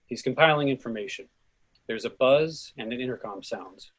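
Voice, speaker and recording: Narrator, male, mid-range and a little raspy, reading script action for a readers theatre. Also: medium pitch, normal speed, a bit bright. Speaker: narrator reading stage directions.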